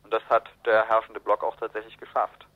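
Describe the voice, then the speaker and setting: A man's voice: fairly low pitch, monotone, a little fast. A man giving analytical, discursive political commentary as a guest in a radio interview. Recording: roomy, muffled radio interview.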